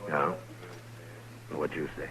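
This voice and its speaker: An actor playing a stern, steady frontier lawman in an American old-time radio western, with an American accent. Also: rumbling low, slow, full character voice, rough recording. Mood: calm, probing. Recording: old radio broadcast with music behind.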